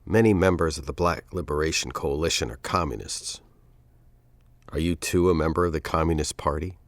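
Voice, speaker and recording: A man's voice: extremely low pitch, fairly quick, no room sound. An actor playing a cold, suspicious male interrogator in an audio drama, asking pointed, formal questions. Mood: suspicious, accusing.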